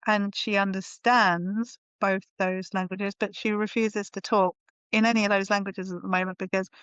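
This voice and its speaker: A woman's voice: mid-range voice, level tone, normal speed, a bit bright, clean tone, dry recording. A woman guest on a podcast, chatting casually and thinking aloud with frequent restarts. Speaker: podcast guest, marketing consultant. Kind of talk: casual conversation.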